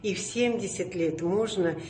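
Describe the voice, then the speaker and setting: Older lady doing a phone testimonial, middle pitch, sincere and encouraging, echoey room with a little hiss. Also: moderate pace, a little mellow. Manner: sincere, encouraging, addresses viewers directly. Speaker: program participant. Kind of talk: video testimonial. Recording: echoey room with faint noise.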